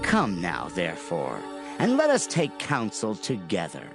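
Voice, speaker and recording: A man's voice: sits low, wildly swooping pitch, measured pace, vocal fry, heavily acted. An actor playing a scheming male foe who reads out a sly, threatening letter in a dramatized scripture reading. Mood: sly, coaxing. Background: music.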